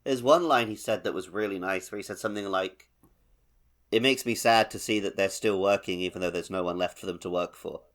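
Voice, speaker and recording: Guy co-hosting a game podcast, lowish voice, chatty and informal. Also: brisk, fairly bright tone. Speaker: podcast co-host. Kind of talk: casual game discussion podcast.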